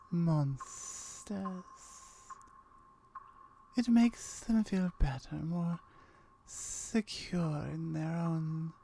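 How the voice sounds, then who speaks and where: Very slow, soft-spoken guarded gorgon played by a woman. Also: lowish voice, slight background noise, full character voice. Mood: disdainful. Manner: hesitant, halting, formal measured phrasing. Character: lonely, guarded female gorgon, wary yet curious. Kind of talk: ASMR roleplay acting.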